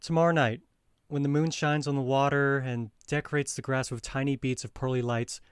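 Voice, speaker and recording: A man's voice: mid-range voice, flat delivery, fairly quick, clean tone, no room sound, clean studio sound, close to an everyday voice. A man playing an ardent, reassuring young lover in an audio drama, earnest and theatrical in manner. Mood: friendly, confiding. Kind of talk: modernized Shakespeare audio drama acting.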